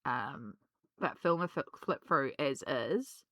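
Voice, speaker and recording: A woman, slightly hoarse from a cold, chatting casually and rambling with many ums while she colours. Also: extremely low pitch, expressive, slow pace, a bit bright, dry recording, clean studio sound. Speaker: colouring vlogger.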